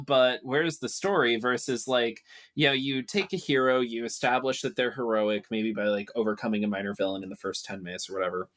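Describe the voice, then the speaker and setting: A man's voice: mid-range voice, fairly bright tone. A man speaking as a podcast guest in casual discussion, emphatic and explanatory.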